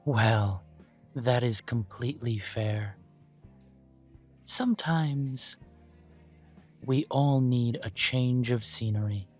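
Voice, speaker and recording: A man voicing a courteous elven coffee shop owner who speaks in a polite, formal, gently self-deprecating way, with soft music playing behind him. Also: mid-range voice, takes it slowly, long pauses. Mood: gracious. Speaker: shop owner interviewing a job applicant. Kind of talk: scripted one-sided roleplay audio.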